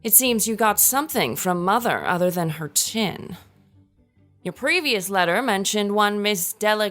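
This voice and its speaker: A woman's voice: medium pitch, a bit bright, natural voice. A woman playing a witty, worldly sister reading her letter aloud in a period radio drama, arch and articulate.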